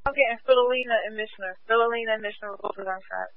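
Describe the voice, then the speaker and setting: Brisk woman police dispatcher. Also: fairly high pitch, steady tone, phone-quality sound. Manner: brisk, repeats locations and warnings.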